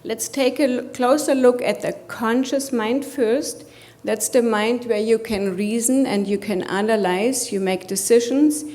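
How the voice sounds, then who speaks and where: A woman's voice: fairly high pitch, a little slow, warm tone. German-accented woman giving a motivational talk. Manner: addresses audience with rhetorical questions. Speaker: conference speaker. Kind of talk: motivational conference presentation.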